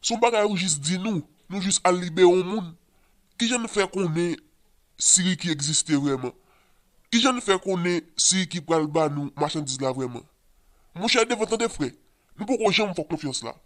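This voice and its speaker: Man playing a higher-voiced, menacing Haitian gangster. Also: moderate pace, a bit bright. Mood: mocking distrust. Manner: taunting, threatening. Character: distrustful male gangster, menacing and mocking. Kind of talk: Creole comic cartoon voice acting.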